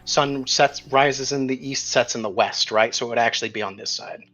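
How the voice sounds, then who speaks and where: A man's voice: medium pitch, moderate pace, pushed and bright, muffled recording. A man working as game master, narrating a tabletop roleplaying session in a casual, conversational way.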